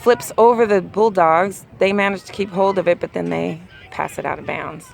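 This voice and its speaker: Hoarse woman calling a youth soccer game. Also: on the low side. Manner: rapid play-by-play, rising excitedly at key plays. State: losing her voice. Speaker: amateur sideline commentator. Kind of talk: play-by-play commentary. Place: outdoors at a soccer field. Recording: outdoor crowd and traffic noise.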